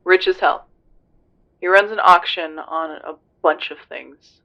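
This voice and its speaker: A man's voice: sits high, steady tone, unhurried, sharp tone, exaggerated voice. A man playing a tough, serious police investigator on a phone call in a roleplay audio drama, terse and grave. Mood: serious, informative. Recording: dull lo-fi phone-call sound.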